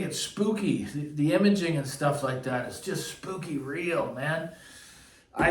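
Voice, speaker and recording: An echoey listening room with the microphone some way off carries a man's slightly high voice. He talks casually and without a script, like a reviewer addressing his regular viewers. His delivery is enthusiastic and emphatic, often repeating a phrase to drive it home. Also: fairly bright tone. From Canada. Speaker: audio gear reviewer. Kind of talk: casual unscripted vlog review. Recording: roomy echo, distant microphone.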